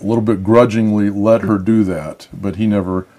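An older man from Michigan, a guest telling his story in a measured, reflective way. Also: lowish voice, fairly even intonation, warm tone. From Michigan, USA. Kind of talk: casual interview chat.

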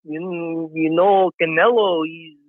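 A man calls in to a boxing show over a thin, tinny phone line. His voice is fairly high and dry. He rambles and boasts with excitement. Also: slow, no room sound. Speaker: caller. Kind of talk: call-in chat.

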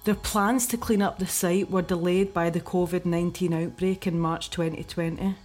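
A woman's voice: on the low side, fairly even intonation, normal speed, darkish tone, soft background music, natural voice. A woman with a Scottish accent acting in a radio drama as an anxious investigator. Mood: focused reading. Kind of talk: radio drama, reading research aloud.

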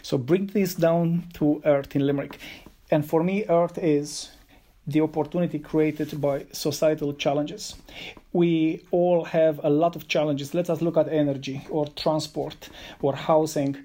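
Man, city digital officer on a podcast; flat, mellow delivery, halting with fillers. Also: fairly high pitch. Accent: non-Irish, foreign. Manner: hesitant, many ums and uhs, measured. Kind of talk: podcast interview answers.

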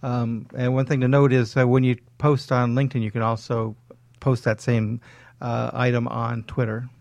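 A man's voice: mid-range voice, flat delivery, normal speed, creaky, darkish tone, no room sound. A man giving conversational expert advice as a guest coach on a talk show.

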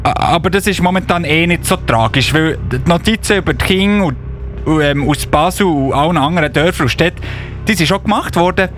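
A man playing an eager, bumbling little Christmas elf policeman in a children's radio play, with a Swiss German accent and halting, apologetic delivery. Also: fairly high pitch, soft background music, lightly acted. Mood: explaining, flustered. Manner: halting, excitable, often interjecting excuses.

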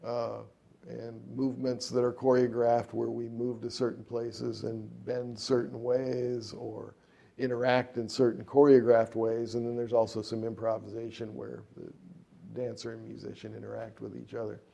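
A man's voice: medium pitch, little pitch movement, moderate pace, gravelly, mellow, dull audio. A middle-aged man, a jazz trumpeter and composer, answering interview questions in a rambling way with many fillers and restarts.

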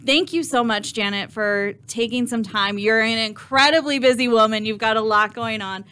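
A woman's voice: fairly high pitch, normal speed, bright, forceful tone, smooth. Encouraging woman podcast host. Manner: encouraging, frequent backchannels. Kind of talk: interview hosting. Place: podcast studio.

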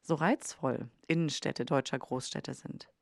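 A woman's voice: sits low, unhurried, creaky, no echo. Woman narrating a podcast, very dry sound. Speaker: podcast host.

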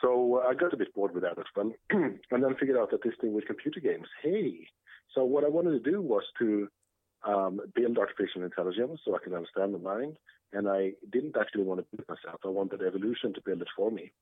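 A man's voice: lowish voice, expressive, fairly quick, breathy edge, no room sound. Swedish-accented man on a tinny line. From Sweden. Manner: hesitant, many fillers and restarts. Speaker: guest, computer science professor. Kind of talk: conversational interview.

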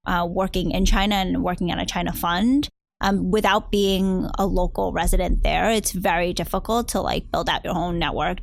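A woman's voice: mid-range voice, no echo. American woman, dry close interview sound. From United States, New Jersey and New York area. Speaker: interview guest, venture capitalist. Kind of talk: conversational interview answers.

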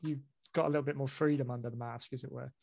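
A man's voice: mid-range voice, fairly even intonation, fast talker, vocal fry, a little mellow, no room sound. An easygoing man interviewing a guest in casual chat, encouraging him with short affirmations.

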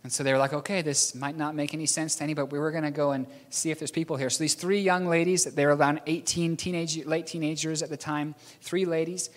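A man's voice: on the high side, flat delivery, quick pace, hardly any pauses. Man preaching with hall reverb. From New Zealand. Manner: rambling, self-correcting, chuckles at own slips. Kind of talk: storytelling sermon.

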